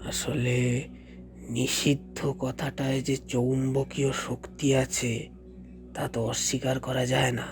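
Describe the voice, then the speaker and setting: Man acting a slow-spoken elderly catacomb guide over music. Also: medium pitch, level tone, natural voice. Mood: mysterious. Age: older. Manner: measured, explanatory, suspenseful storyteller. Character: slim, amiable, seasoned elderly French catacomb guide. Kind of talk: dramatized audio story dialogue. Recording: music bed behind the voice.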